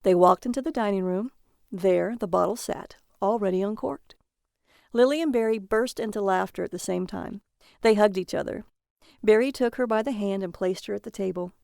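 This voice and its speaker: Brief: woman story reader, measured scripted narration, mid pitch with some fry. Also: darkish tone, no echo, studio quality. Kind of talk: scripted narration of a dark comic tale.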